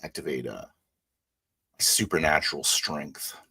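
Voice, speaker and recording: A man improvising first-person narration in a tabletop roleplay game, halting and low-key. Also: vocal fry, sharp tone, slightly rough.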